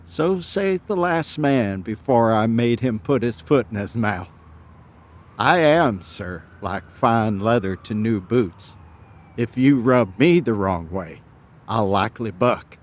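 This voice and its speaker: A man's voice: on the low side, animated. A man playing a proud, cheeky foot soldier, politely defiant and wordy, in a theatrical audio drama. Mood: cocky, defiant. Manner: measured, wordy, mock-courteous.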